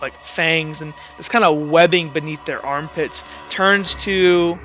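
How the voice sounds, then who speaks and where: A man running a tabletop roleplaying game as game master in a casual, halting way, thinking aloud as he narrates. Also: fairly high pitch, bright, forceful tone, lo-fi sound, faint music behind.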